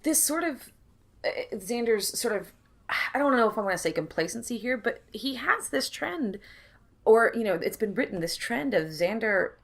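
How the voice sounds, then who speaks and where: A woman's voice: fairly high pitch, expressive, moderate pace, gravelly. A woman co-hosting a podcast, chatting casually with a panel about a TV show. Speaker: podcast co-host.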